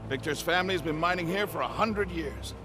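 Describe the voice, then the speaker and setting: A man's voice: on the high side, fairly quick, lightly acted. A man playing a spirited, knowledgeable scientist leading an expedition in a video game cutscene, eager and explanatory. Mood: eager, explaining. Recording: music behind the voice.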